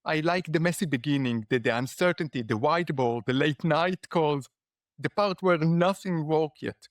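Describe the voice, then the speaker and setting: A man with an Israeli accent talks in a fairly high voice, thinking aloud and padding his answers with "you know". The sound is close and dry, with no room around it. He speaks as a guest in a casual interview. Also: moderate pace, no echo. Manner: reflective, with frequent "you know" fillers. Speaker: guest, startup founder and lecturer.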